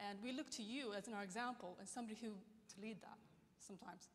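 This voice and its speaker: A woman's voice: medium pitch, fast. A woman from Britain speaks up from the audience at a conference, challenging the speaker earnestly and fluently into a handheld microphone. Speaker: audience member asking a question. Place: large conference hall, seated in audience. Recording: long hall reverb.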